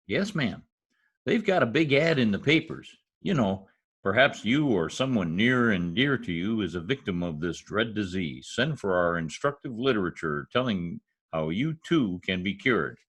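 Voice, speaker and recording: A man's voice: fairly low pitch, lively intonation, normal speed, a bit bright, breathy edge. A man playing an eager, mischievous teenage schoolboy in an old-time radio comedy, with an earnest, gushing manner and flowery words. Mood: gleefully scheming.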